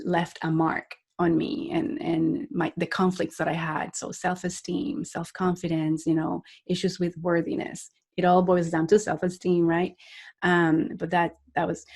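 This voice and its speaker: A woman's voice: on the low side, darkish tone. A woman, a life coach talking earnestly and reflectively as a podcast guest. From Puerto Rico. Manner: earnest, reflective, with frequent restarts and laughs. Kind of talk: podcast interview.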